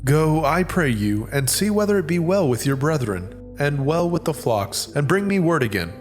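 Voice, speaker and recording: A man's voice: on the high side, sing-song, moderate pace, soft background music. A man playing an old Hebrew patriarch, a solemn father declaiming archaic lines in a dramatized scripture reading. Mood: gentle command.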